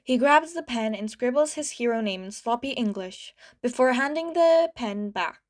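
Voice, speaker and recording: A woman reading fan fiction aloud as a podfic, narrating in a light, steady way. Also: fairly high pitch, normal speed. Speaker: podfic reader.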